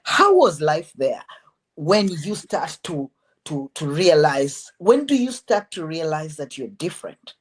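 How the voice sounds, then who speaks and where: Husky, low-voiced woman host. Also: big pitch swings, a little slow. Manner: gentle, affirming prompts. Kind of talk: interview.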